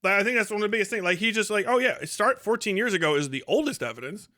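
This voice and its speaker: A man with a notably high, bright voice. He comments casually and profanely, with a sardonic, mocking edge, slipping into quotes as he argues. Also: lively intonation, racing. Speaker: streamer host reacting to clips.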